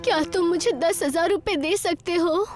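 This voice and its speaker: A woman's voice: very high-pitched, normal speed, soft background music. A woman voicing an earnest, wronged street food seller in a cartoon moral story, plaintive and emotional. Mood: tearful, pleading.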